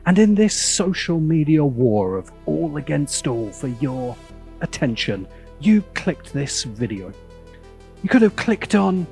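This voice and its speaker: Slow, highly animated British man, sardonic. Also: vocal fry. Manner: sardonic, theatrical, deliberate emphasis. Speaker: video essayist and host. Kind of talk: scripted opinion video essay narration.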